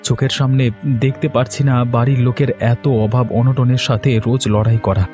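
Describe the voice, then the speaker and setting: A man's voice: medium pitch, steady tone, brisk, darkish tone, natural voice. A man voicing an emotional, jobless village son-in-law in a cartoon story. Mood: despairing. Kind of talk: Bengali children's cartoon story dialogue. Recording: music playing under the voice.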